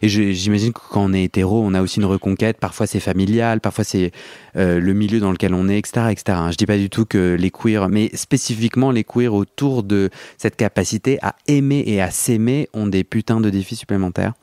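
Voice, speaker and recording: Man, late thirties, Parisian accent, low voice, dry close sound, reflective podcast host. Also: fairly quick, dry recording. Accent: Parisian French. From mainland France. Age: 30s. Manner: reflective, animated, swearing casually. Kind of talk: intimate podcast interview.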